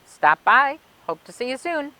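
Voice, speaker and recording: A woman reading and explaining things for children in an expressive way, with simple, clear phrasing, like a children's librarian. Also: sits high, a little slow, poor audio. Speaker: children's librarian reading a story and demonstrating a craft. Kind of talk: read-aloud storytelling and craft instructions for children.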